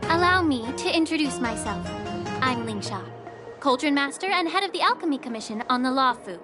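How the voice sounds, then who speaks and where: A woman playing a poised, slyly sarcastic head healer in a video game, speaking politely with cool, unhurried teasing. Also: high voice, expressive, over music. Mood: composed, courteous.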